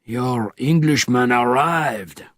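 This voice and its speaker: A man voicing a huge, commanding crime boss with a formal, deliberate and quietly menacing manner in an audiobook. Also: mid-range voice, dry recording, clean studio sound. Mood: quietly threatening.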